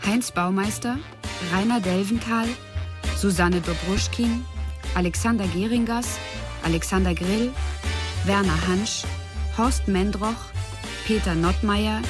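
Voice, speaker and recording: A woman's voice: medium pitch, measured pace, long pauses. A woman giving scripted narration and credits as an announcer. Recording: music behind.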